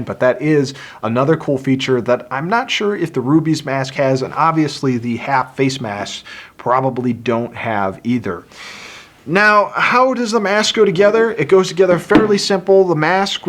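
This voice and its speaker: Male host, medium pitch, casual product-review delivery, easygoing and explanatory. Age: adult. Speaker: video host reviewing a toy helmet.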